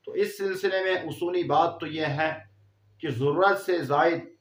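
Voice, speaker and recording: A man giving a religious explainer talk as an Islamic teacher, in a measured, didactic way. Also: a little high. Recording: echoey room, dull muffled sound.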